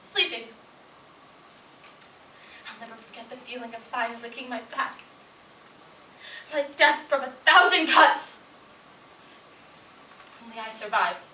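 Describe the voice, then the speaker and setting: A woman performing a dramatic stage monologue as a scarred, disturbed daughter yearning for her mother, slow and hushed with sudden swells. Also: fairly high pitch, full character voice. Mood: quiet hurt, then anguished. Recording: distant, echoey room with faint noise.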